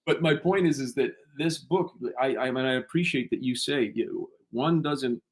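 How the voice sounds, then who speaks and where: A man's voice: mid-range voice, moderate pace. A man, a guest author, talking in a casual interview with many false starts and repeated words.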